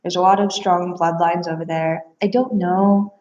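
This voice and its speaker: A woman's voice: on the low side, fairly even intonation, normal speed, darkish tone. Rambling woman in an echoey room. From Bulgaria, adopted from there. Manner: earnest, rambling, introspective. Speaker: aspiring therapist and psychic reader. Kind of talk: casual personal monologue. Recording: echoey room, slightly dull sound.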